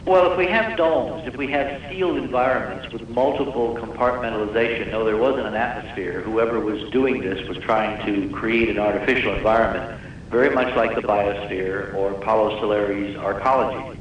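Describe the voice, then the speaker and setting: A man's voice: on the low side, moderate pace, a crowd in the background. Echoey, distant man explaining on radio. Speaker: interview guest, space-anomaly researcher. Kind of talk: live radio interview, explanatory talk. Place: live radio call-in show. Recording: distant, very echoey, muffled noisy radio broadcast.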